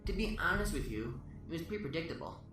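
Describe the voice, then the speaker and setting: A man playing a calm, mocking male serial killer under interrogation, in a roomy recording set back from the mic with music beneath. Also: on the high side, fast talker, distant mic. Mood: smug, mocking. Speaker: arrested suspect under interrogation. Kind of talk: amateur radio drama acting.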